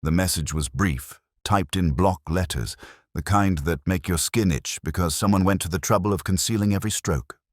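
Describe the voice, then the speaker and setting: A man's voice: low voice, normal speed, no room sound, clean studio sound. A man reading a scripted first-person detective story in a hard-boiled narrating style.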